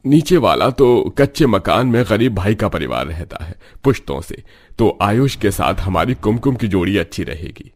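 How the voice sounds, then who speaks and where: A man voicing a pious middle-aged father in a cartoon family story, deliberate and a little hesitant. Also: fairly low pitch, sing-song.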